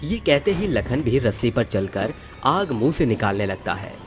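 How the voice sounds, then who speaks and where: Male story narrator, medium pitch, very lively delivery, faint music bed. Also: moderate pace. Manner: expressive storytelling. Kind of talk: scripted narration of a moral fantasy story. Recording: music under the voice.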